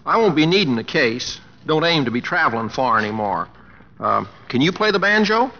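An actor playing an easygoing, teasing young cowboy with an American West accent, polite and folksy, in a 1950s radio drama. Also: male voice, a little high, big pitch swings, normal speed, sharp tone, full character voice. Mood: wry teasing. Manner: folksy, polite, hesitant with uhs. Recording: muffled vintage radio broadcast.

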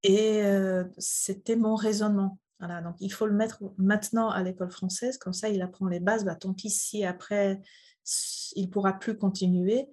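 Husky-voiced middle-aged woman, hesitant. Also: medium pitch, fairly even intonation, normal speed, dark tone. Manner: thoughtful, hesitant, many fillers. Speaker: interviewee, mother. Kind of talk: casual interview.

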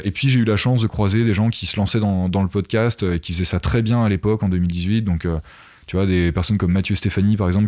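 A man's voice: low-pitched, mellow. A man talking casually in an interview as a podcaster, explaining his ideas conversationally with asides to the listener.

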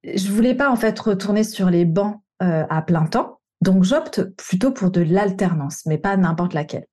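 A woman's voice: mid-range voice, expressive, moderate pace, mellow. A woman in her thirties from the Paris region, a coach telling her own career story in a candid, conversational way with some slang. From Paris region, France. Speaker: coach and podcast host. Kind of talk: personal storytelling monologue.

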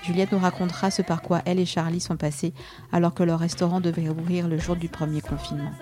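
A woman's voice: lowish voice, little pitch movement, fast, soft background music. A woman hosting a food podcast, reading an introduction and then asking questions in a curious, casual way. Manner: warm, curious questioning with fillers. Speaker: podcast host.

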